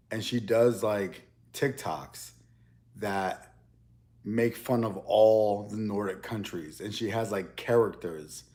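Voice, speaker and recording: A man's voice: lowish voice, darkish tone. A young man in a casual, rambling chat as he eats on camera, often restarting his sentences. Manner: rambling, loose, with many restarts. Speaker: mukbang YouTuber.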